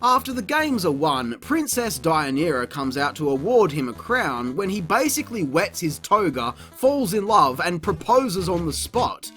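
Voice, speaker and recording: A man's voice: high voice, sing-song, normal speed, hardly any pauses, pushed and bright, soft background music. A man narrating a scripted comedic review in a sarcastic, crude, mocking manner. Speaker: video reviewer.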